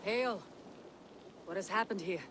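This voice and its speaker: A woman playing a bold, steady Viking warrior, direct and terse, in a game cutscene. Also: medium pitch, measured pace, background noise, close to an everyday voice. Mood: direct, questioning. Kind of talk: video game cutscene acting.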